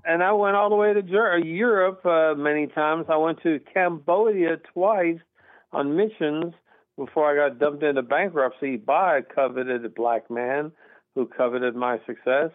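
A middle-aged man from Texas calls in on a thin, tinny phone line. His voice sits a little high, and the sound is close and dry. He talks earnestly and emphatically, rambling from point to point. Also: unhurried, dry recording. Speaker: caller. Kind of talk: call-in radio conversation. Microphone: telephone.

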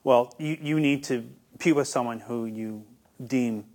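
Young man, interview guest, mid pitch, earnest, stumbling restarts. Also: measured pace, a little mellow. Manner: earnest, hesitant, repeats words. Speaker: guest, YouTuber. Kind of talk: conversational interview answers.

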